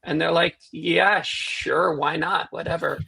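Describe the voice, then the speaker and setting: A woman guest in a casual interview, rambling with quick self-deprecating asides. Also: sits low, fairly even intonation, gravelly, bright, forceful tone, slightly rough. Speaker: guest, programming language developer.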